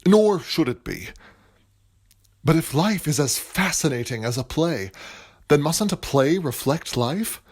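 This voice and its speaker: A man's voice: a little high, expressive, measured pace, a little mellow, slightly rough. A man playing a passionate, grandiloquent playwright in a period audio drama, speaking in a florid, declamatory and earnest way. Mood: eager, persuasive. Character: passionate, grandiloquent male playwright scheming for material. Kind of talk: theatrical period stage-play acting.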